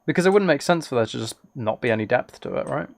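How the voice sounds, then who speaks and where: A man with a mid-pitched voice talks casually on a gaming livestream. He thinks out loud as he plays and chats with his viewers in an informal way. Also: animated, fast talker, gravelly. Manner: musing, thinking aloud. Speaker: live streamer.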